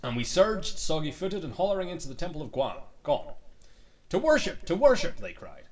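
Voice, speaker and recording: A man's voice: on the high side, sing-song, a little fast, distant mic. A man streaming a game and reading its books aloud as theatrical storytelling, dropping into muttered, sweary asides.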